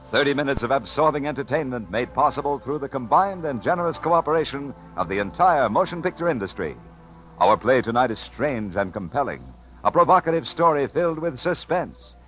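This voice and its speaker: A man's voice: medium pitch, expressive, normal speed, a bit bright, low-quality recording. A man announcing in a formal, dramatic 1950s radio style. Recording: vintage 1950s radio broadcast. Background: music.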